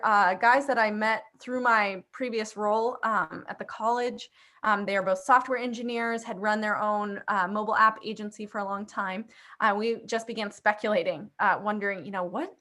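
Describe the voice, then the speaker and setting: A woman's voice: medium pitch, a bit bright. A woman in her thirties, from Afghanistan and Pakistan, hosting a video call in an upbeat, conversational way with many fillers. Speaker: host presenting a church software platform. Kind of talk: casual video call presentation.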